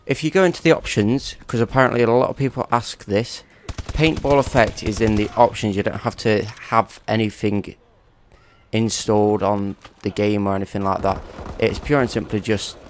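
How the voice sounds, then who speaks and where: A man's voice: on the low side, steady tone, moderate pace, a little hiss or hum. A young man with a cold, chatting casually and swearing now and then while commentating his own gameplay. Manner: rambling, chatty, swears at opponents. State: congested with a cold. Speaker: gaming YouTuber.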